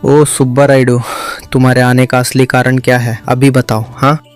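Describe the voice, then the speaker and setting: A man's voice: medium pitch, little pitch movement, moderate pace, clean tone, faint music behind, natural voice. A man voicing a stern, blunt householder in an emotional cartoon family drama. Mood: accusing. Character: stern suspicious householder, quick to accuse his relative. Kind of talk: dramatic cartoon story dialogue.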